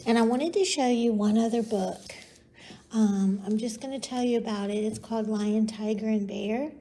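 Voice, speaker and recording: A woman's voice: on the high side, normal speed, warm tone, slight background noise. A woman hosting a storytime for young children, explaining things simply and encouragingly. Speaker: library storytime host. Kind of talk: children's storytime presentation with read-aloud and singing.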